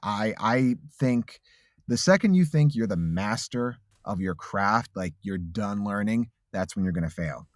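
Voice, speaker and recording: A man's voice: fairly low pitch, expressive, a little slow, dry recording, studio quality. A man in his late thirties, a voice actor, telling his story in a casual interview, earnest and reflective with frequent restarts. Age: late 30s. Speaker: voice actor guest.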